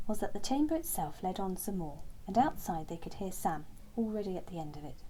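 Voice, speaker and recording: A woman reading a children's bedtime story aloud in a gentle, expressive storytelling way. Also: on the low side, a little hiss or hum, low-quality recording. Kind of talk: scripted children's story narration.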